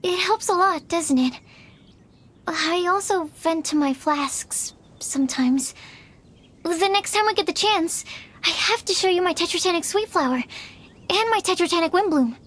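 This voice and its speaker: An actress playing a shy, earnest, bookish young woman alchemist in a video game, speaking hesitantly and awkwardly. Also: very high-pitched. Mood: quietly eager. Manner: hesitant, soft-hearted, halting phrasing.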